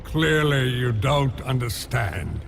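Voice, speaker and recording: An actor playing a hulking, weary, bitter smith god and protective father in a video game, gravelly, slow and brooding. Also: medium pitch, big pitch swings, slight background noise, heavily acted. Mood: weary, mournful. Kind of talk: video game cutscene acting. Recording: music behind the voice.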